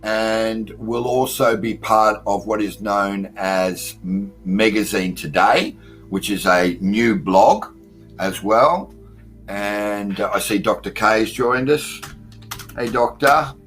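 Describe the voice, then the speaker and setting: Male call-in radio host, low voice, chatty and filler-heavy, faint music under him. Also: slow pace, a bit bright. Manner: loose and chatty, many fillers, greets listeners by name. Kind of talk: casual call-in radio hosting. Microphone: computer mic at a desktop.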